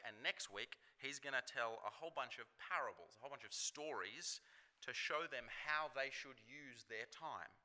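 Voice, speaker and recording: A man gives a sermon with a very bright, ringing voice. He talks to the congregation in a chatty, relaxed way, with casual fillers, at a middle pitch. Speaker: preacher.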